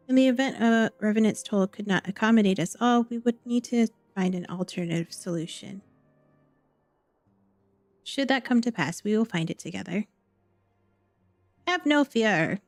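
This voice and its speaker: A woman voicing a gracious, dutiful noblewoman in a fantasy game, reading formal archaic dialogue in a gentle, measured manner. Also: fairly high pitch, no room sound. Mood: courteous.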